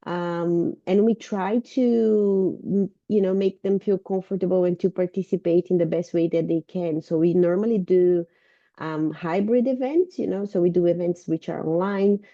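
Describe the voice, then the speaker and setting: Female presenter, Brazilian accent, very mellow and lowish voice, slightly off from illness, informal academic delivery. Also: normal speed, no room sound. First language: Portuguese. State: sick, voice sounding a bit off. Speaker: university researcher and lecturer presenting. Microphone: video call. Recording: dull, muffled video-call audio.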